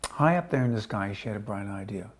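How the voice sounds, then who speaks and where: A man reading a children's storybook aloud casually, with chatty asides to his listeners and a slightly husky voice. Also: lowish voice, expressive, fast. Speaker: storybook reader.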